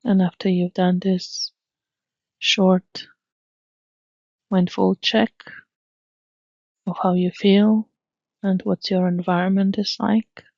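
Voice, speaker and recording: A woman guides a mindfulness meditation, speaking very slowly and leaving long pauses between phrases. Her pitch stays flat and even as she gently instructs. The recording is dry and slightly dull. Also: gravelly, dry recording.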